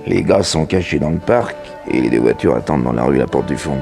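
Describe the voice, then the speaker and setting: Comic male gangster sidekick, fry-laden low voice, slangy and dim, vintage radio serial with faint music. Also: lively intonation, brisk, full character voice. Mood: droll. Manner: droll, slangy, echoing his boss. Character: dim, obliging crook sidekick, comically slow-witted. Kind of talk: early 1960s French radio serial acting.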